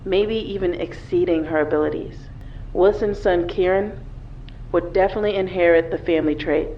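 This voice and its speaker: Woman acting as composed hero mom, lower voice, explaining, muffled noisy video recording. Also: lightly acted. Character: composed superhero mother leaving a recorded message. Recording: dull, noisy video playback.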